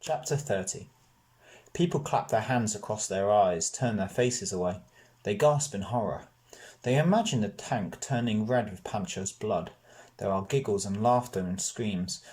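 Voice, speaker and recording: A man reads a children's novel aloud in an expressive way. His voice is a little low, and he tells it as a storybook narrator.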